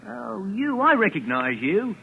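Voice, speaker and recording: An actor playing a bustling, astonished police sergeant in a 1940s radio drama. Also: male voice, high voice, big pitch swings, a little slow, vocal fry, slightly rough, full character voice. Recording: tinny, band-limited old radio recording.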